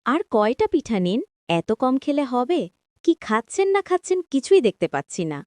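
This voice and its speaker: A woman's voice: sits high, sing-song, moderate pace, a little mellow, dry recording. A woman voicing a hospitable, insistent village housewife in a cartoon. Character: hospitable village woman urging food on guest. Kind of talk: Bengali moral cartoon voice acting.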